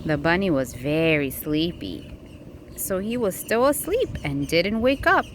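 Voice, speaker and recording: A woman reading a picture book aloud to a child in an expressive, engaging way, with questions for the listener. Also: lowish voice, unhurried, a little hiss or hum.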